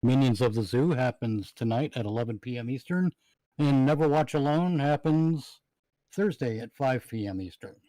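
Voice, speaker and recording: A man's voice: mid-range voice, normal speed, dark tone, no room sound, low-quality recording. A man chatting casually as a panel member on a livestream. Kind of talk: casual chat.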